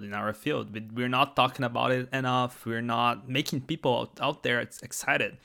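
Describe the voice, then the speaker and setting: A man's voice: mid-range voice, fairly bright tone. A man in his twenties from Brazil with a Brazilian Portuguese accent, a native Portuguese speaker, hosting a solo podcast in a chatty, enthusiastic way with restarts and asides. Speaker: podcast host.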